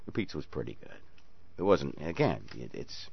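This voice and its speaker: A man's voice: rumbling low, sing-song, normal speed, gravelly, breathy edge, no echo. Joking male radio talk host. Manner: joking, banters with callers. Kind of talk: call-in radio chat. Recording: slightly dull radio audio.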